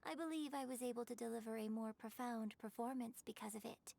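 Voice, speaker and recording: A woman's voice: a little high, a little fast, vocal fry, a little mellow. An actress voicing a refined, gracious young woman opera performer in a video game, with formal, poised speech. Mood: earnest, grateful. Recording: faint background noise.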